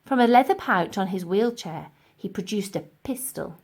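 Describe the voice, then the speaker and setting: A woman narrating a scripted children's audiobook in an expressive, deliberate storytelling manner. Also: medium pitch, husky. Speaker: audiobook reader.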